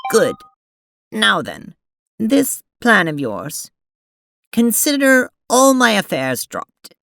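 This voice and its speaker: A woman playing a prim, earnest young alien troll in an audio drama, speaking formally and haltingly with an awkward, apologetic manner. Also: mid-range voice, wildly swooping pitch, creaky, a bit bright, no echo, studio quality, heavily acted. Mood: businesslike.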